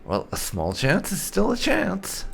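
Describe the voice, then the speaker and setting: A man's voice: high voice, wildly swooping pitch, a little slow, creaky, a little hiss or hum, voice pushed up, heavily acted. A man voicing a defiant, wry young elf woman as he reads out video game dialogue, dry and blunt. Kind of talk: reading out roleplay game dialogue.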